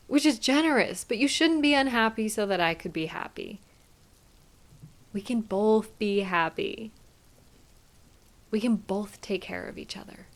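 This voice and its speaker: A woman playing a tender, doting girlfriend who soothes and gently coaxes her partner in an intimate one-sided roleplay. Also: on the high side. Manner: soothing, affectionate, gently coaxing, softly playful.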